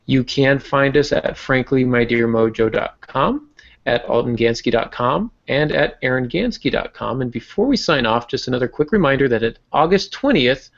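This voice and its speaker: A man's voice: medium pitch, lively intonation, moderate pace. A man hosting a podcast in a casual, easygoing, lightly joking way. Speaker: podcast host. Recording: muffled lo-fi online-call audio.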